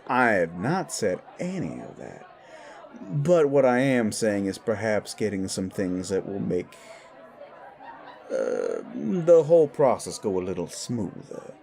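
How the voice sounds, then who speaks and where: A man's voice: on the low side, huge pitch swings, slow pace, vocal fry, a little mellow, a faint crowd, heavily acted. A man voicing an older, patient, experienced werewolf mentor who explains things frankly in an audio drama. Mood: calm, tactful. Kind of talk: scripted audio drama dialogue.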